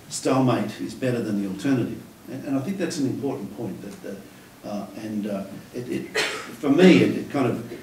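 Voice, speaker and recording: Hesitant moderator in a roomy hall. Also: male voice, on the low side, a little mellow, a little hiss or hum. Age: middle-aged. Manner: hesitant, many restarts and fillers, thoughtful. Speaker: moderator, academic host. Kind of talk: panel conversation. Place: lecture room with a seated audience. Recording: roomy lecture hall, mic at a distance.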